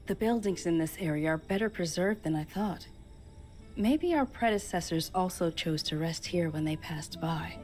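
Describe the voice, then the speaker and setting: Composed female expedition leader for game dialogue: lower register, thoughtful, soft music behind. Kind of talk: scripted video game dialogue.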